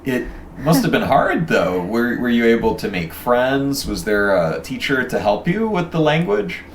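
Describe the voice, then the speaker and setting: Man, casual interviewer, encouraging, expressive swooping pitch, sounds away from the mic in a noisy space. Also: a little slow. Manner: encouraging, reacts with exclamations of interest. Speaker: interviewer, host. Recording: roomy, distant mic, ambient noise.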